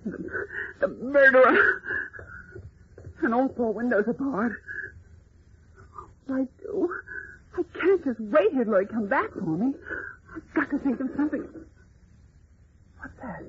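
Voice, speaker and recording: An actress playing a brave, indignant captive young woman in an old radio serial, with earnest, dramatic diction. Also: on the high side. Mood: anxious. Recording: muffled, noisy old radio recording.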